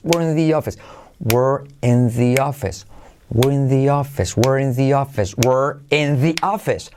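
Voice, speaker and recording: Playful teacher drilling phrases. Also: male voice, medium pitch, darkish tone. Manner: drills phrases slowly and repeatedly, playful asides. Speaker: English teacher. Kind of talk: lively pronunciation lesson.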